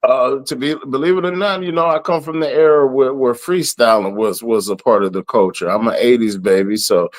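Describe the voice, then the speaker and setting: A raspy-voiced man in his thirties from the southern United States, a rapper and event planner, talking in a relaxed, rambling way with lots of "you know". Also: mid-range voice, fairly quick, few pauses. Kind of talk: casual interview.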